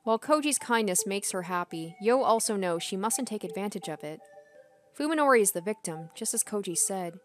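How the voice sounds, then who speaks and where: Woman reading a game over soft music. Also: mid-range voice, animated, fairly quick. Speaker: game streamer. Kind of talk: reading visual novel narration aloud, with casual asides.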